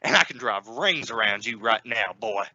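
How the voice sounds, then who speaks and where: A woman's voice: very deep voice, expressive, moderate pace, sharp tone, dry recording, full character voice. A woman playing an exasperated, stubborn family father in an old-time radio sitcom, blustering, sarcastic and prone to stammer when cornered. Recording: muffled recording with clear noise and vehicle sounds.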